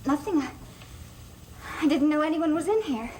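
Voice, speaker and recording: A woman's voice: sits high, animated, normal speed, mellow, slight background noise. An actress playing a wounded, lonely and anxious young woman in a gothic soap opera, with music behind her. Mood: defensive, uneasy. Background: dramatic music behind the voice.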